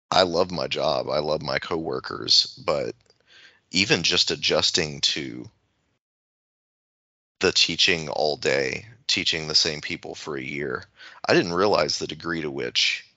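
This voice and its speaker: Deep, bright-voiced man, halting and reflective. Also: dry recording. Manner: halting, self-correcting. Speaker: podcast co-host; teacher. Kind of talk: casual reflective discussion. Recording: slightly dull, lo-fi audio.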